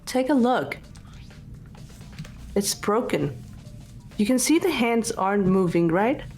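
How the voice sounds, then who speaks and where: A woman's voice: mid-range voice, lively intonation, slow pace, a little mellow, soft background music. A woman voicing a composed, blunt teenage girl detective in an amateur visual novel dub, speaking in a controlled, matter-of-fact way. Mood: pointed.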